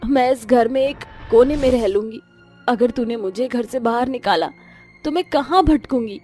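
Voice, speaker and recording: A woman's voice: a little high, normal speed, warm tone, soft background music, natural voice. A woman voicing a humble, earnest old mother-in-law in a cartoon moral story. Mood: pleading. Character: humble, hardworking old widowed mother-in-law selling coconut water. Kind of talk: animated moral-story voice acting.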